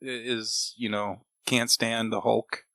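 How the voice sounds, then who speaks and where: Casual man with a crisp, bright voice. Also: medium pitch, level tone, takes it slowly, dry recording. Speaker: podcast co-host. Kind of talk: casual chat reviewing old radio shows.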